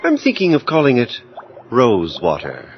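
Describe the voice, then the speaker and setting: An actor playing a self-absorbed scientist in an audio horror drama, speaking in formal, precise, clinical phrases. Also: male voice, medium pitch, wildly swooping pitch, moderate pace. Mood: coolly absorbed. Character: self-absorbed male scientist, coolly clinical, obsessed with his work. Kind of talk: audio horror drama acting with first-person narration. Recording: dull lo-fi audio with faint noise.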